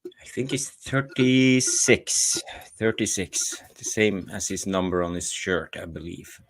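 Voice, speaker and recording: Low-voiced man as co-host of a football fan chat, hesitant, stumbles and repeats words. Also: slow pace, low-quality recording.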